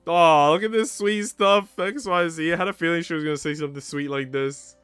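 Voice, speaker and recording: A man's voice: fairly high pitch, fairly even intonation, brisk, a bit bright, clear, resonant tone. A man streaming a visual novel, mixing slangy, casual reactions with reading the narration aloud. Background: game music.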